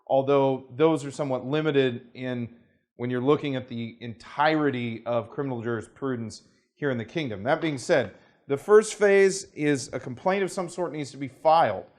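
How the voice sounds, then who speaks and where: An American man talking through a legal process as an attorney. He speaks in a formal, measured way, with lawyerly phrasing and frequent qualifiers. His voice sits at an ordinary middle pitch. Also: a little mellow. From United States. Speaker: attorney presenting a legal explainer. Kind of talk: unscripted talking-head explanation.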